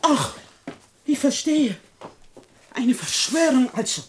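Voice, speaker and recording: A woman's voice: high-pitched, very animated, slow, a little hiss or hum, exaggerated voice. An actress in a German radio play playing a proud, sardonic older woman who faces down robbers. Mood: scornful. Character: defiant, dying old lady, unafraid of her robbers.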